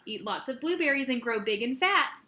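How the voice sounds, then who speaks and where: A woman's voice: high voice, expressive, a little fast, pushed and bright, reverberant. A woman voicing a protective, emphatic mother bear in a children's storybook. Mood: gently instructing. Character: protective mother bear, instructing, then alarmed.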